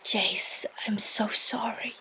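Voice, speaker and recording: A woman's voice: mid-range voice, steady tone, slow, bright, forceful tone, in a whisper, rough recording. A woman playing a worried, protective and earnest adventurer in an audio drama. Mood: hushed concern.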